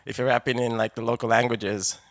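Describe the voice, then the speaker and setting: A young adult rapper from Zambia, giving a relaxed, rambling radio interview full of fillers and restarts. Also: medium pitch, a little fast, no echo. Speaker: rapper and producer, interview guest.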